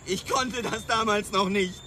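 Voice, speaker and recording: A man's voice: sits high, measured pace, sharp tone, a little hiss or hum. An actor playing a bitter young policeman who speaks reproachfully, as a son to his father, in a radio drama. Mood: bitter, accusing. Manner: reproachful, accusing.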